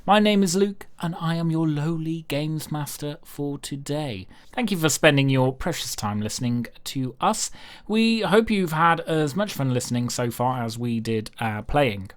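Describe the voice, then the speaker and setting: A man's voice: on the high side, animated, measured pace, no room sound. A British man hosting and narrating a tabletop roleplaying game as game master, feeling his way through sentences with self-corrections. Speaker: game master and podcast host.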